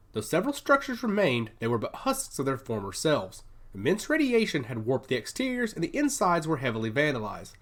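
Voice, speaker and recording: A narrator reading dramatic science fiction in an expressive, scripted storytelling style. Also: mid-range voice.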